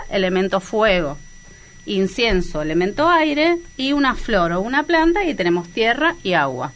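A woman's voice: mid-range voice, fairly bright tone. A woman, a guest, explaining spiritual practices in a confident, instructive way. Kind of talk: explanatory chat.

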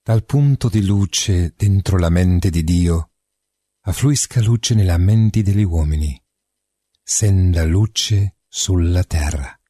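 A man slowly reciting a prayer in a measured, reverent way. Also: sits low, warm tone, husky, no echo. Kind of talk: slow solemn recited prayer.

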